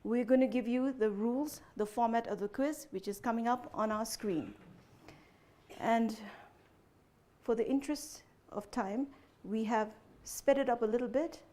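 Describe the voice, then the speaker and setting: Chatty woman quizmaster, slightly high voice. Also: a little slow, mellow. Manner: chatty, frequent "you know" fillers, checks understanding with "okay?". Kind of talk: explaining quiz rules to contestants.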